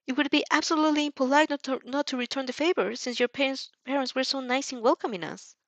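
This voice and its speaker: Woman voicing a sweet, higher-pitched polite schoolgirl. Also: quick pace, a bit bright, no echo. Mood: earnest, polite. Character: polite, earnest, sweet well-mannered teenage schoolgirl.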